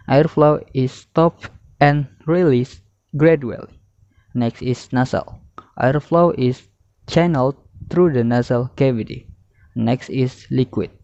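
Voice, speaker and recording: A man's voice: mid-range voice, a little mellow, no room sound. A young man reading a lesson presentation aloud as a student presenter, in a methodical way. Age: young adult. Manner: methodical, listing term then definition. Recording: dry, slightly dull lo-fi recording.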